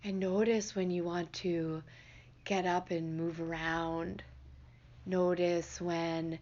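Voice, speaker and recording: A woman leading a guided meditation in a gentle, unhurried, soothing manner. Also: fairly low pitch, level tone, dull audio. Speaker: meditation teacher.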